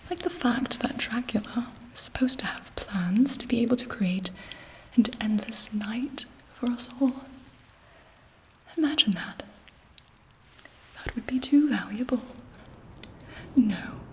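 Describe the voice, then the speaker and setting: A woman playing an imperious, scheming, seductive vampire queen in an intimate ASMR roleplay, speaking in a deliberate, haughty whisper. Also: fairly high pitch, slight background noise, low-quality recording. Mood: bitter, disdainful.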